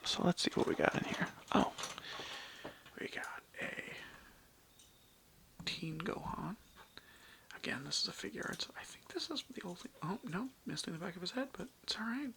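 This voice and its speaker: An ASMR host rambling casually in a breathy whisper, loosely strung together with lots of filler words. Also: mid-range voice, expressive, normal speed, pauses often, fairly bright tone. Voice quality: breathy, mostly unvoiced whisper. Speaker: host of a toy-collection ASMR video.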